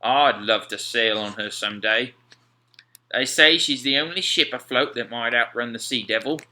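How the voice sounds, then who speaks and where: A man voicing a cautious, grumbling working sailor with rough seaman's talk in an audiobook story. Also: medium pitch, moderate pace, bright, forceful tone. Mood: admiring.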